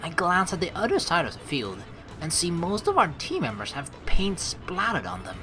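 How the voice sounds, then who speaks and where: Gamer girl reading story game out loud, bright lively voice, casual expressive asides, soft music behind. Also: on the low side, moderate pace. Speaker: let's play streamer. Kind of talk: reading game narration aloud with casual commentary.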